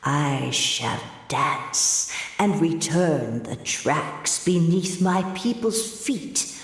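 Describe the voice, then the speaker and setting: Slow, echoing, solemn goddess. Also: female voice, low-pitched, lively intonation, exaggerated voice. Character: ancient solemn goddess of prophecy, serene, oracular. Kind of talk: English game voice acting. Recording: long cavernous reverb.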